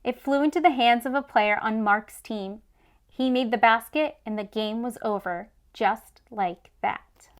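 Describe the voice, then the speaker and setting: A woman reading a children's story aloud with expressive storybook narration. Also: fairly high pitch, measured pace. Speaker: children's read-aloud host.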